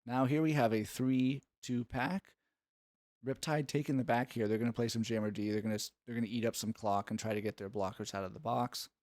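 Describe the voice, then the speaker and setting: A man's voice: medium pitch, fairly even intonation, fairly quick, a little mellow, no echo. Man breaking down game footage, dry sound. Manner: instructive, explanatory. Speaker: sports analyst. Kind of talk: analytical play-by-play commentary.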